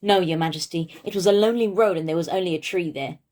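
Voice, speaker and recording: A woman's voice: on the low side, fast, darkish tone, natural voice. Woman reading as proud, vengeful storybook man. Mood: nervous. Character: vengeful, proud, easily flustered male borrower.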